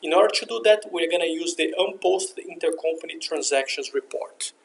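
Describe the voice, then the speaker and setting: Higher-pitched man narrating a software tutorial. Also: fairly quick, creaky. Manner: step-by-step, explanatory. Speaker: software instructor.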